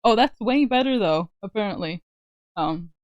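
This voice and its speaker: A woman streamer chatting on a call, soft-spoken and laughing often. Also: mid-range voice, animated, moderate pace, no echo, muffled recording. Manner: giggly, laughs often, speaks softly. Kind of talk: casual livestream chat on a call.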